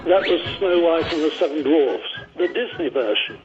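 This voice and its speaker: A man slowly recalling a memory in an interview. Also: on the high side, expressive, highs cut off, over music. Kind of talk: interview reminiscence.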